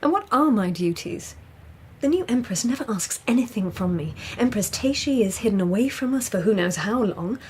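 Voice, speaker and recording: An actress playing a sensible, soothing court lady in a naturalistic radio drama, matter-of-fact and gently dry. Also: a little high, animated, normal speed, slight background noise, close to an everyday voice. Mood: weary, defensive.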